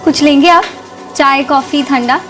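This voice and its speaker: Slow, subdued young woman. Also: high voice, recorded from a distance, music in the background. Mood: submissive. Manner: polite, reserved, terse, apologetic. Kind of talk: TV crime drama acting.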